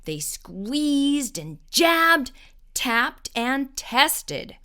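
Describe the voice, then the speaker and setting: Expressive lady reading a picture book, highish bright voice, takes her time. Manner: expressive, deliberate. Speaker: storyteller. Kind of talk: scripted children's story narration.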